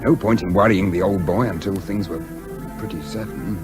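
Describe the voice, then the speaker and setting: An actor playing an affectionate, anxious young British gentleman, polite and well-spoken, in radio comedy style. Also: low-pitched, moderate pace, over music, close to an everyday voice. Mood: worried. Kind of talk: old-fashioned radio comedy acting.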